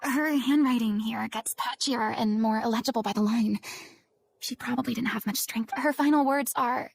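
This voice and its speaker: A woman's voice: a little high, normal speed, vocal fry, a little hiss or hum. A woman playing a poised, commanding yet compassionate young woman in an anime game, speaking in articulate, theatrical phrases. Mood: intent.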